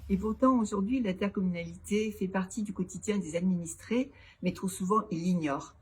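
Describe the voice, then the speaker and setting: A woman, a local elected official, giving prepared, formal interview answers in a structured, didactic and measured way. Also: mid-range voice. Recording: clearly noisy background ambience.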